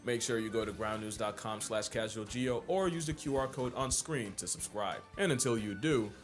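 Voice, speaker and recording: A man's voice: fairly low pitch, normal speed, faint music behind. A man delivering scripted comedic narration, wry, irreverent and full of slang. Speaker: video essay narrator.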